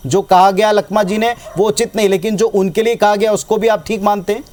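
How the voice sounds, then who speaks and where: A man's voice: high-pitched, flat delivery, fairly quick, darkish tone. A man hosting and moderating a political debate show on television. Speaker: TV debate host.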